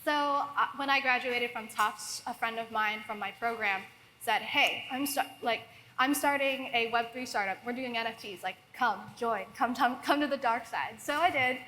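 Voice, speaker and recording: A woman in her twenties from Hong Kong, a software engineer talking casually on a conference panel. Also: on the high side, moderate pace, a little hiss or hum, rough recording. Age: 20s. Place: conference hall.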